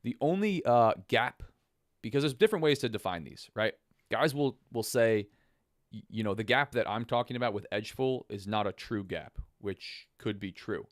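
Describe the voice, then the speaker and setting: A hoarse man with a raspy smoker's voice, chatting casually to viewers as the host of a livestream, with an informal, buddy-like way of explaining things. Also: fairly low pitch, expressive, moderate pace, dry recording, clean studio sound. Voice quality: raspy smoker's voice, partly lost. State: hoarse, voice half gone. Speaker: host of a live trading stream.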